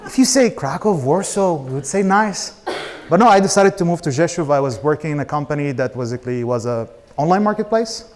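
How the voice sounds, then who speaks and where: A man's voice: fairly high pitch, expressive, brisk. A man in his thirties from Israel, an Arabic speaker, giving an informal, blunt personal talk as a startup founder. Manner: casual, blunt, swearing.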